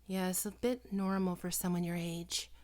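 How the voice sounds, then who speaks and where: A woman acting in an ASMR roleplay as a doting, possessive vampire posing as a small-town doctor, coaxing and teasing, often dropping into breathy whispers. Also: lowish voice, flat delivery, normal speed, a little mellow, natural voice. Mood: concerned, chiding. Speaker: doctor character in audio roleplay.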